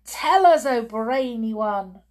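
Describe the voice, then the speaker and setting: A woman voicing eager, fawning witches in a storybook, in excited exclamations. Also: a little high, slow, pitched above the performer's own voice. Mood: thrilled.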